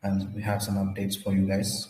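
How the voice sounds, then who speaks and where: A man with a cold, hosting a casual livestream update, talking haltingly and often repeating and restarting words. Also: deep voice, completely flat delivery, darkish tone, reverberant, a little hiss or hum, low-quality recording. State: has a cold, slightly hoarse. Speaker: crypto project founder hosting a livestream.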